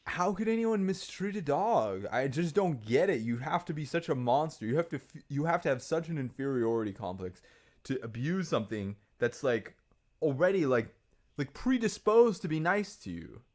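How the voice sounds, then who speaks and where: A young man co-hosting a podcast in casual chat, animated and blunt, swearing and slipping into mocking quoted voices. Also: a little high, moderate pace. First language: not Mandarin. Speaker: podcast co-host.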